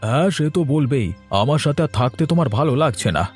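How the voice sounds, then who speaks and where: A man voicing a stubborn, miserly village husband in an animated cartoon story, defensive and complaining. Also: a little high, fast, breathy edge, faint music behind.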